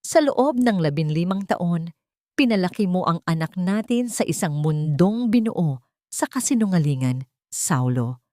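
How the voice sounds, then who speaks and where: Woman playing a grave, gentle wronged mother, low-voiced. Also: expressive, no echo, studio quality. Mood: grave reproach. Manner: soft, measured, earnest.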